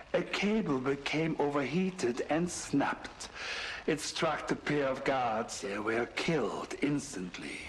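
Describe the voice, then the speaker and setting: Theatrical German mad scientist villain, swooping delivery. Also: male voice, mid-range voice, fairly bright tone, a little hiss or hum, low-quality recording. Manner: dramatic, deliberate pauses, savoring words. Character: fanatical sadistic Nazi mad scientist, grandiose and obsessive. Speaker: villain narrating his research. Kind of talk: theatrical video game monologue.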